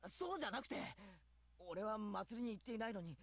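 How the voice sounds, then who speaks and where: An actor playing an earnest, uneasy teenage schoolboy in a visual novel, with hesitant phrasing. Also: male voice, sits high, animated, racing. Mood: agitated. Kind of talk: Japanese visual novel voice acting.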